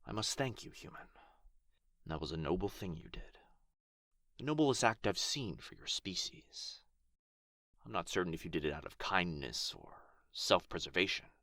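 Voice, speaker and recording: A man's voice: low voice, animated, normal speed, sharp tone, dry recording, heavily acted. A man playing a proud, pompous male dragon in a fantasy ASMR roleplay, with archly formal, theatrical phrasing. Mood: softening, grateful. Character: proud, haughty injured male dragon, grudgingly grateful, teasingly possessive.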